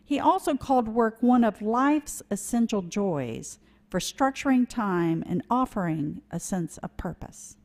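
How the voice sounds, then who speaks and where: A woman giving a sermon in a church, earnest and measured, with a touch of self-deprecating humor. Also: on the high side, a little mellow. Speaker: lay preacher. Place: church sanctuary.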